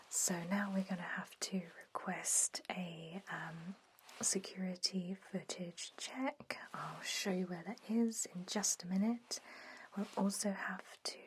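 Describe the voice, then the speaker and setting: A chatty, bossy vampire lab worker acted by a woman in an ASMR roleplay, whispering her instructions in a conversational way. Her pitch is middling for a woman, and clear background noise sits behind the whisper. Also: close to an everyday voice. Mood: apologetic, exasperated. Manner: softly spoken, instructive, conversational.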